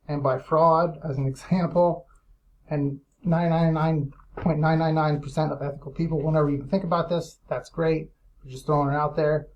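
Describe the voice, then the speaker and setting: Male, somewhat higher-pitched voice; blunt, emphatic business owner talking informally to clients. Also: darkish tone, poor audio. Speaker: lead generation business owner. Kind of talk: informal monologue explainer to clients.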